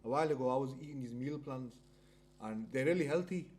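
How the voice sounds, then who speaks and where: A man's voice: medium pitch, a little mellow. A man in his thirties chatting casually on a podcast, stumbling and repeating words as he talks. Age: 30s. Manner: fast, halting, repeats words. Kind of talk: casual chat.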